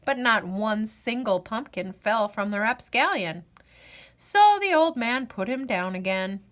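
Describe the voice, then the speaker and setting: Woman, children's read-aloud narrator, high voice, lively melody, dramatic, deliberate. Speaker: storyteller, host of a read-aloud show.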